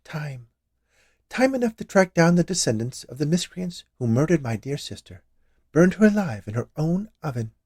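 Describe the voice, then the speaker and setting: A man's voice: a little high, big pitch swings, normal speed, darkish tone, dry recording, clean studio sound, pitched above the performer's own voice. A man voicing a century-old witch confectioner in a story reading, gushing and theatrical with a vengeful streak. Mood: sinister, vengeful. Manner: gushing, theatrical, overly polite. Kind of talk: dramatized short story reading.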